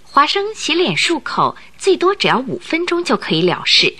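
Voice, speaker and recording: A woman's voice: high voice, wildly swooping pitch, moderate pace, pushed and bright. A woman narrating a radio drama in a dramatic, scripted storytelling style.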